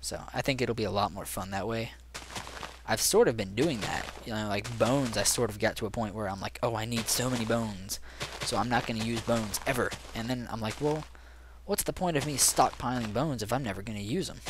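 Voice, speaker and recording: A young man with a raspy voice and sore throat, casually rambling through gaming commentary with many ums. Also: lowish voice, a little fast, background noise. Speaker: gaming channel host. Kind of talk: casual let's play commentary.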